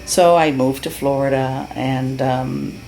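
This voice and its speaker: A woman's voice: extremely low pitch, fairly even intonation, slow pace, gravelly, soft background music, poor audio. Earnest middle-aged Cuban-born woman. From Cuba. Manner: earnest, warm, with frequent fillers and restarts. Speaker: patient giving a testimonial. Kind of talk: unscripted testimonial.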